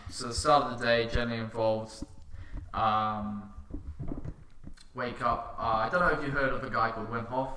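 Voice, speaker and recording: A man's voice: medium pitch, fairly even intonation, normal speed, bright, forceful tone. Young man chatting in a noisy, roomy space. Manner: informal, matey storytelling. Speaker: podcast guest. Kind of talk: casual chat, anecdotes. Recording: roomy sound with clear noise.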